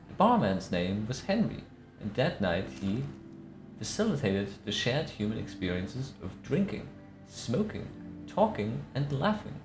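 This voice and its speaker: A man giving a theatrical scripted narration with measured, affected diction in a put-on accent. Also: mid-range voice. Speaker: streamer reading aloud. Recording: roomy echo. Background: faint music.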